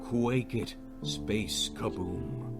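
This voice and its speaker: Slow, low, deadpan man over loud music. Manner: deadpan, deliberate, solemn delivery. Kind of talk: dramatic reading of upbeat song lyrics. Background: loud instrumental music.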